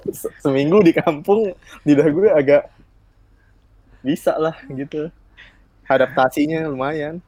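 A man's voice: on the high side, unhurried, long pauses, darkish tone, poor audio. A man with a Betawi Jakarta accent chatting casually and slangily on a podcast.

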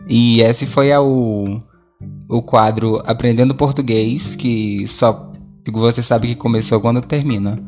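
A man's voice: mid-range voice, steady tone, unhurried. Rambling Brazilian man over music. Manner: rambling, conversational, self-correcting. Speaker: podcast host. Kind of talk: casual solo chat, audio diary. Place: at home, alone.

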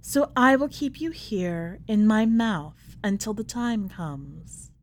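A woman narrator voicing a stern, possessive, protective mother in a dark literary story, in a firm, declarative way. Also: mid-range voice, lively intonation, takes it slowly.

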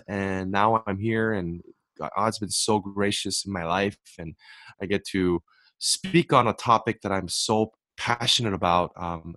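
A man's voice: lowish voice, fairly bright tone, no echo. A young man whose first language is Korean, an author guest speaking earnestly on a faith podcast and restarting his words as he goes. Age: young adult. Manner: earnest, repeats words and restarts when emphatic. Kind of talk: faith-based podcast interview.

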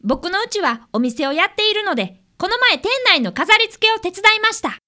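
Radio drama boy sleuth, about ten female actor: very high, animated, eager and courteous, Kansai-tinged. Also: a little fast, exaggerated voice. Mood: cheerful, polite. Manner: earnest, polite, eager. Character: earnest, polite fifth-grade boy, apprentice detective. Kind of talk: community radio drama acting.

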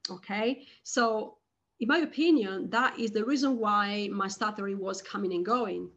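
A woman guest on a podcast, speaking slowly and hesitantly, with frequent restarts and repeated words. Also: mid-range voice, a bit bright, dull audio. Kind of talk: conversational interview.

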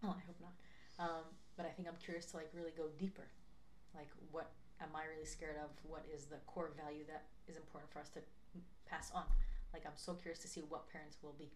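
A young woman co-hosting a podcast in casual chat, thinking out loud in an earnest way and often saying "like". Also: sits low, flat delivery, brisk, a little hiss or hum. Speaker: podcast co-host.

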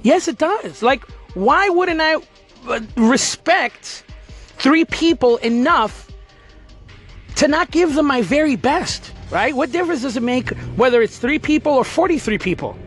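A man hosting a podcast, talking casually and emphatically in an energetic, motivational way. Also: very high-pitched, measured pace, faint music behind. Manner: emphatic, energetic, pep-talk delivery. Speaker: podcast host.

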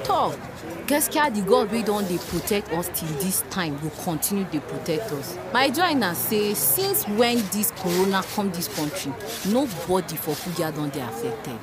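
A woman's voice: lowish voice, big pitch swings, moderate pace. A woman playing an outspoken, devout market woman in a Pidgin radio drama, with a northern Nigerian accent and an emphatic, exclaiming delivery. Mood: lamenting. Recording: busy crowd and music behind.

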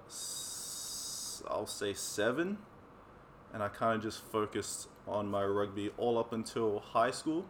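A man's voice: on the low side, a little hiss or hum. A man, chatting easily as an interview guest and coach, with frequent ums. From New Zealand. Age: 20s. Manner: easygoing, many ums, relaxed laughs. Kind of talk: casual interview chat.

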